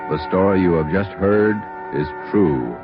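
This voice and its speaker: A male announcer reading in grave, measured phrases, in a scripted 1940s radio style. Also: deep voice, animated, loud music behind the voice. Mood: solemn. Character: solemn, weighty male radio narrator. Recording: muffled old radio broadcast.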